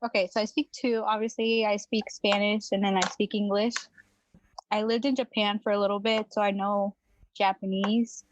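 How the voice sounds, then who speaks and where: A woman's voice: medium pitch, flat delivery, moderate pace, muffled recording, noisy background. A young woman from Venezuela, a guest on a podcast, talking casually with lots of fillers and frequent laughter. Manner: chatty, laughs often, many fillers. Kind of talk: casual chat.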